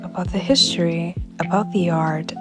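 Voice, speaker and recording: A young woman from Germany narrating a reflective voice-over about her travels in a calm, even way. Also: on the low side, normal speed. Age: young adult. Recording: voice-over with loud background music.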